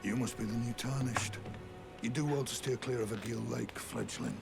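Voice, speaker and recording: An actor playing a wary, world-weary man in a fantasy game, giving measured warnings in slightly archaic speech. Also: medium pitch, steady tone, gravelly, lightly acted. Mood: cautioning. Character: wary, world-weary male stranger warning a young adventurer. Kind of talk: video game character acting. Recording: loud music over the voice.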